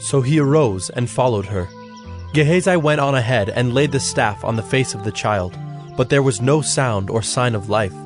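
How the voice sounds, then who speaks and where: A man reading scripted Bible narration in a measured, solemn manner. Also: mid-range voice, faint music behind.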